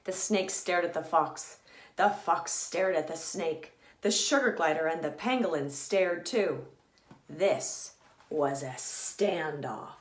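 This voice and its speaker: A woman narrates a children's storybook at a slow, deliberate pace. Her delivery is teacherly and emphatic, with very lively swings in pitch. The recording has a clear room echo. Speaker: children's author reading aloud. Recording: echoey room.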